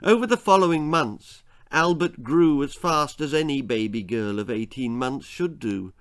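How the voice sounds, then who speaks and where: A man reading a scripted children's horror-comedy story as its author, in a dramatic, expressive manner. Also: mid-range voice, moderate pace. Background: faint music.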